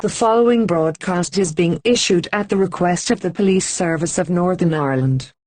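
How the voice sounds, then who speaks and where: A woman reading a scripted emergency broadcast announcement in an even, formal manner with slow, deliberate pauses. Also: lowish voice, background noise.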